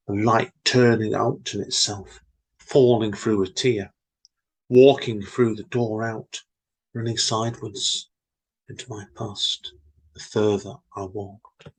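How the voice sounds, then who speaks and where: A man co-hosting a story podcast, chatting casually and reading his own poem in a slow, reflective way. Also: lowish voice, breathy edge, poor audio. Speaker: podcast co-host, poet. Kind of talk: casual chat and poetry reading.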